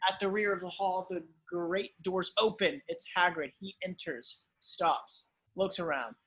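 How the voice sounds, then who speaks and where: A man's voice: high voice, a little slow, a bit bright, breathy edge, rough recording. A man reading screenplay stage directions as dramatic scripted narration.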